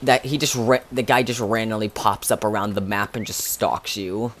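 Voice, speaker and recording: A man's voice: lowish voice, level tone, a little hiss or hum. Young man rambling over a game stream. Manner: rambling, halting, many restarts and fillers. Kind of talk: casual livestream commentary.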